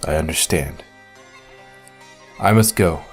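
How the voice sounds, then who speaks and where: Man acting a solemn young ninja, very low voice. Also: faint music behind. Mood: formal, reserved. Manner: measured, formal, brooding. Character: solemn, reserved young ninja elder brother, dutiful, philosophical. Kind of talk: fan audio drama acting.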